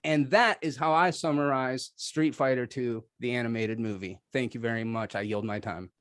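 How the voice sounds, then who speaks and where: A man's voice: mid-range voice, normal speed, fairly bright tone. A man debating casually as a contestant, with a sarcastic, slangy way of talking. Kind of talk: casual argumentative banter.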